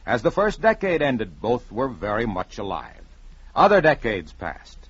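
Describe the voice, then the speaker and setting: A man narrating a scripted old-time radio story with measured, dramatic delivery on a vintage recording. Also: medium pitch, huge pitch swings, fairly bright tone, breathy edge, no echo, muffled recording.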